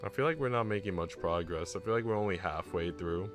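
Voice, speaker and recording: A man's voice: low voice, brisk. A man streaming, reading a visual novel aloud and chatting casually. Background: music.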